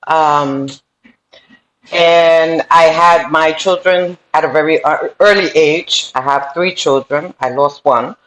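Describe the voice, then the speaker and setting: A woman's voice: sits low, slow, fairly bright tone. Middle-aged nyc woman, spanish plus new york accent, earnest guest talk w lots of ums, echoey room. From New York City. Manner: earnest, with frequent um fillers and restarts. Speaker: podcast guest. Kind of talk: telling her life story in an interview. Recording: roomy echoey room sound.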